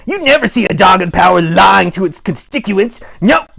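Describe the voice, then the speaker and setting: A man voicing an excitable, earnest puppet in a raspy voice, making emphatic speeches in a live improvised puppet show. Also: sits high, normal speed, full character voice. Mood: excited, earnest. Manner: emphatic, exclamatory, mock-campaign speech. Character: excitable puppet character, earnest champion of dogs. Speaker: puppeteer host.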